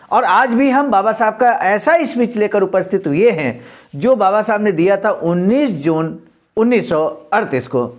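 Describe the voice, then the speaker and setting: A presenter reads a script formally, pausing to explain it and address listeners. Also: mid-range voice. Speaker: presenter of a daily speech-reading programme. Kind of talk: scripted reading with spoken commentary.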